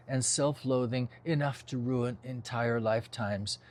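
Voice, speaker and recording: An older man reads from his own novel at a mid-range pitch. His delivery is measured and reflective, in the literary manner of a memoir, with the odd informal aside. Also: a little mellow. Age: over 60.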